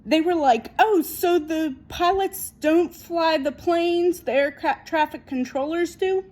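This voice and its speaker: A woman giving an unscripted opinion monologue in an emphatic, repetitive, halting way. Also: extremely high pitch, a little mellow. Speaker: video commentator.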